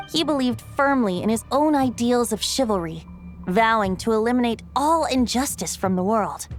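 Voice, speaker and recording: A woman voicing a playful, youthful wind spirit in a video game, telling old lore with light teasing asides. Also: fairly high pitch. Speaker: game character.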